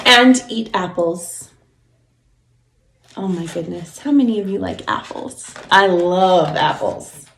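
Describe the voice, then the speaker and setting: A woman's voice: on the low side, slow pace, creaky, roomy sound, slight background noise. A woman preschool teacher reading a storybook aloud to young children, encouraging and explaining things simply.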